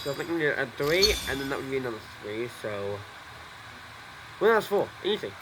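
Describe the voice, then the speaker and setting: A man's voice: medium pitch, big pitch swings, a little fast, heavy background noise. A young man casually commenting on his gameplay, rambling, swearing and repeating himself. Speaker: gameplay streamer. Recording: game music playing behind.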